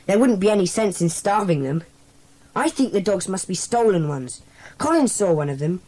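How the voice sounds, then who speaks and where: A woman playing a bossy, sensible schoolboy leader in a children's audio drama, speaking in a brisk, decisive way. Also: medium pitch, expressive, a little mellow, close to an everyday voice, poor audio. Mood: authoritative.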